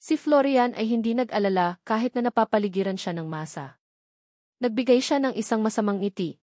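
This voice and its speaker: A woman's voice: fairly high pitch, animated, brisk, mellow, no echo, studio quality. A woman reading scripted story narration in the manner of an audiobook. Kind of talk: scripted audiobook-style narration of a web novel.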